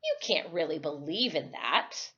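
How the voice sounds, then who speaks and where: A slightly dull, lo-fi recording of a woman reading as a young boy in a storybook. He is earnest and defiant, protesting with scolding emphasis, and his pitch jumps up and drops sharply. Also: expressive, measured pace, sharp tone, full character voice. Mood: indignant.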